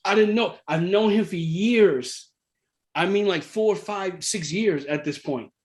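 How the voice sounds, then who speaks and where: A man in middle age with a slightly high voice. He tells a personal story in a loose, conversational way. He is animated and often repeats himself to drive a point home. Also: unhurried. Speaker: real estate investor sharing his story.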